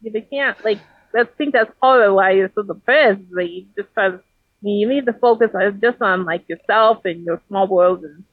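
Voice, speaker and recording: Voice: medium pitch, normal speed, dry recording. Earnest guest over a tinny phone line. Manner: earnest, encouraging, many fillers. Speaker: podcast guest. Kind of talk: casual podcast conversation over a call.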